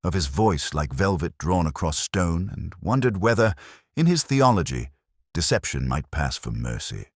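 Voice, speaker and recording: A man reading scripted first-person detective fiction in a measured, literary, brooding manner. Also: rumbling low, slightly rough, dry recording, studio quality. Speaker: narrator.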